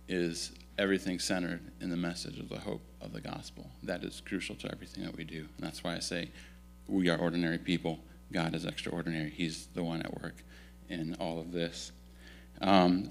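A man in his thirties who grew up in Italy, giving a relaxed, self-deprecating missionary update in a church at a stand mic. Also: lowish voice. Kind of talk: casual storytelling talk to a congregation. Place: church sanctuary, indoors. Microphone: stand microphone.

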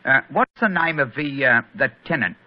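A man's voice: mid-range voice, animated, normal speed, pitched above the performer's own voice, lightly acted. A man playing a detective disguised as a chirpy cockney plumbing inspector, in a 1940s radio play, on a dull old broadcast recording. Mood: cheeky, servile. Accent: London cockney put on. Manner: chirpy, deferential, dropped grammar.

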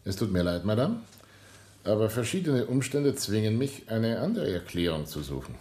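An actor playing a composed, relentless police inspector in a radio drama, speaking formally and insistently. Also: male voice, fairly low pitch, big pitch swings, normal speed, dark tone. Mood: grave, formal. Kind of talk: German radio drama acting.

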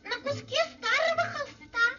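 A woman's voice: extremely high pitch, normal speed. A woman voicing a cheeky, naive little wooden puppet boy in an old Soviet cartoon, perky and innocent. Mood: pleading, naive. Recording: dull old film soundtrack, music behind.

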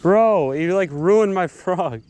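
A young man chatting casually and excitedly in bro-heavy slang while fishing outdoors. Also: high-pitched, takes it slowly, smooth. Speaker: vlogger angler. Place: outdoors beside a pond. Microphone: body-worn action camera.

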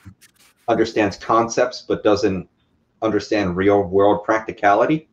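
A man's voice: on the low side, fairly even intonation, normal speed. A man in his twenties chatting casually as a podcast guest, explaining things in everyday language. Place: living room couch, indoors. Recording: slightly muffled video-call audio.

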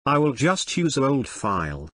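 A synthetic text-to-speech man's voice, giving scripted step-by-step tutorial narration in a measured, instructional way. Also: medium pitch, sing-song, a bit bright, husky, no room sound, studio quality.

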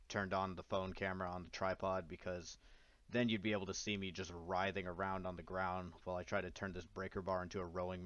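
Low-pitched man, casual how-to voice-over. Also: a bit bright, no echo. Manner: wry, self-deprecating asides. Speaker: DIY how-to host. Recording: dull, lo-fi, dry voice-over.

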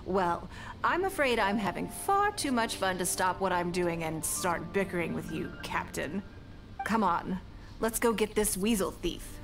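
A woman voicing a poised, shrewd, wealthy businesswoman in a video game, speaking in a measured, genteel, lightly teasing way. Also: fairly low pitch, animated. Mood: amused, teasing. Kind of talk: video game dialogue acting. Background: background music.

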